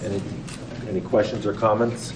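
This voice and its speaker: A man chairing a council meeting, reading procedural motions in a brisk, routine way, recorded in a large council chamber. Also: on the low side, level tone. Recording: echoey chamber, far mic, background noise.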